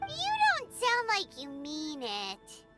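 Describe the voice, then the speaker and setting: A woman voicing a tiny, excitable female fairy companion who speaks in a blunt, childlike way in a video game. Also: high voice, takes it slowly, loud music behind the voice, heavily acted. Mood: complaining. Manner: childlike, blunt, easily spooked.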